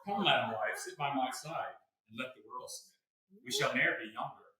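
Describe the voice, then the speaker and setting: Someone playing a bright, roomy-sounding comic drunken tinker. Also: medium pitch, expressive, normal speed. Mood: resigned. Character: drunken tinker fooled into believing he's a lord, gullible, bawdy. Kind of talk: theatrical staged reading of Shakespeare comedy. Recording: roomy stage recording from far off.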